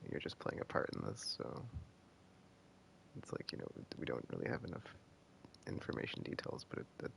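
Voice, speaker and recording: A man with an extremely low, gravelly voice gives casual stream commentary on a game. He rambles and trails off as he thinks aloud, with faint noise behind him. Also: big pitch swings, fairly quick, long pauses, fairly bright tone.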